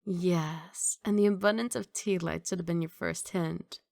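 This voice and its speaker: A woman's voice: lowish voice, steady tone, unhurried, creaky, darkish tone, dry recording, studio quality. A woman playing a confident, doting young mafia boss girlfriend in an intimate, teasing ASMR romantic roleplay. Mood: affectionate, assured. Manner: playful teasing, intimate one-sided conversation.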